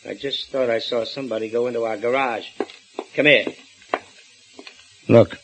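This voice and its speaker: A man's voice: medium pitch, fairly even intonation, moderate pace, noisy background, lightly acted. Actor as a domineering policeman husband, sarcastic and probing, old-time radio thriller. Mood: suspicious. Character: gruff, suspicious police husband. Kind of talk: 1940s radio acting.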